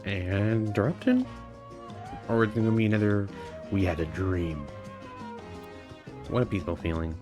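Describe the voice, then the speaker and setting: A man's voice: fairly low pitch, expressive, moderate pace, pauses often. A man streaming a game, giving casual commentary and reading dialogue aloud. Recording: game music behind the voice.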